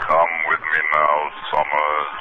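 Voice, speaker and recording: A man voicing a menacing monster in a 1950s radio drama, slow, commanding and possessive. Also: very deep voice, monotone. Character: menacing green clawed dream monster. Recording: very noisy narrow-band old radio recording.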